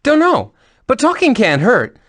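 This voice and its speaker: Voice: mid-range voice, wildly swooping pitch, a little slow, fairly bright tone, dry recording. A voice actor in game recording outtakes, speaking in a playful, sassy, teasing way.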